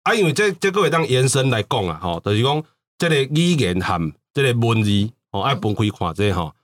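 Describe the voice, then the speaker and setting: A man's voice: medium pitch, sing-song, brisk. A man with a Taiwanese accent explaining things casually as a talk-show host, often repeating words for emphasis. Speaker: podcast host.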